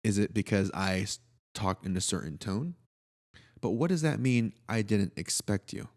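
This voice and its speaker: A man's voice: expressive, moderate pace, a little mellow. A man, co-hosting a podcast in a laid-back, joking, slangy way. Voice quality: deep voice. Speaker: podcast co-host. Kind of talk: casual chat.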